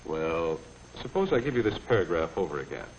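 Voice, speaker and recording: An actor playing a calm, formal American office boss in a 1950s training film, speaking with restraint and deliberation. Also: male voice, low voice, brisk, rough recording. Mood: restrained, businesslike. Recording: old film soundtrack, faint noise.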